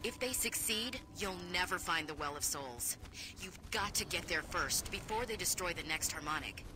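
A woman playing an urgent ally in a video game, briskly briefing on a mission. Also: lowish voice, expressive, noisy background, natural voice. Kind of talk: video game dialogue acting.

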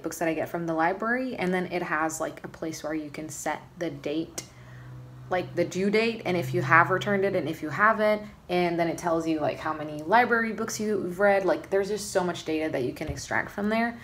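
A groggy young woman talking to her phone in a small room at home, creaky voice, rambling through her day. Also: on the low side, fairly quick, a bit bright, a little hiss or hum. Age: 20s. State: tired, groggy. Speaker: vlogger. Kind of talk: casual chat to camera. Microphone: phone or camera at arm's length.

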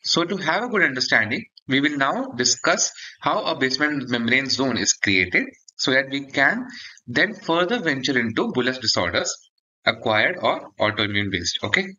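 A man's voice: medium pitch, very animated, normal speed, pushed and bright, muffled recording. A man gives an explanatory medical lecture for exam students, often checking in with a short "okay". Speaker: dermatology lecturer.

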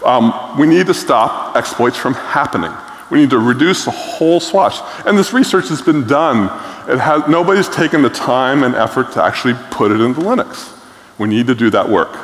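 A man's voice: mid-range voice, lively intonation, moderate pace, a bit bright. Blunt middle-aged man in an echoey hall. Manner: blunt, enthusiastic, frequent "um" fillers. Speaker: keynote speaker. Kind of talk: conference keynote talk. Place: large conference stage.

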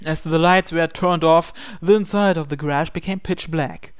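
A man's voice: on the high side, normal speed, clean tone, no echo, clean studio sound. A man reading visual novel narration and dialogue aloud as a gaming video host. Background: game music.

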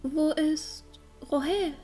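A woman's voice: high voice, slow, dark tone, soft background music. A woman playing an earnest teenage village girl, eager yet unsure, in a fantasy audio drama. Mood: puzzled. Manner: earnest, youthful.

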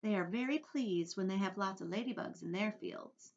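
A woman's voice: mid-range voice, moderate pace, voice pushed up, close to an everyday voice. A woman voicing a small, genteel female ladybug who speaks with refined, modest pride. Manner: refined, modest, slightly boastful. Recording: dull lo-fi audio.

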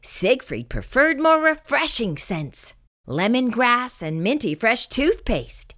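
Expressive bright-voiced woman storyteller. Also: a little high, takes it slowly. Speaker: storyteller reading a children's picture book. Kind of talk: expressive scripted read-aloud narration.

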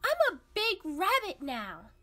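Lady doing a hyper little boy bunny from a picture book, super high and swoopy, stubborn and pleading. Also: slow, slight background noise, exaggerated voice. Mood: insistent. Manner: emphatic, pleading, excitable. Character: eager, defiant young boy rabbit insisting he is big.

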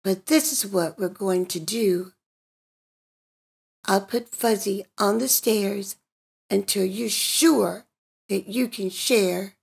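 A woman voicing a calm, firm llama mother in a children's storybook, measured and gently instructive. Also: medium pitch, gravelly, breathy edge, voice pushed down, full character voice. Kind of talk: children's storybook character reading.

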